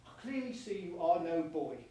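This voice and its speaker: An actor playing a pompous, bitter young prince in a staged reading of a verse comedy, declaiming formally. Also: male voice, sits high, big pitch swings, moderate pace, dark tone. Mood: flustered, apologetic. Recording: distant mic, echoey room, faint noise.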